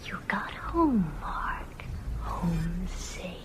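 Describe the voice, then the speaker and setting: Slow, whispering seductive vampire woman. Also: fairly low pitch, big pitch swings, heavily acted. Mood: seductive coaxing. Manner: breathy whispered coaxing, slow and drawn out. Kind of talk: radio drama acting.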